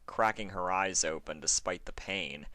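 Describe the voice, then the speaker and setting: A man with a low, forceful voice reads a story aloud with expressive narration. The sound is close and dry, with very little room. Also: a little slow, no echo. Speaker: fan fiction reader.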